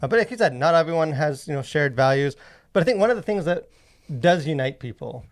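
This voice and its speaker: Rambling male podcast host with a creaky voice. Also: a little high, expressive, quick pace. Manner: rambling, filler-heavy, frequent "like". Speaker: podcast host and movie reviewer. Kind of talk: casual conversational podcast talk.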